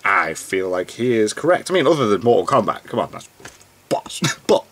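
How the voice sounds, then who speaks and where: A man reacting casually as host, in an excitable, gushing fan manner. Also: lowish voice, normal speed.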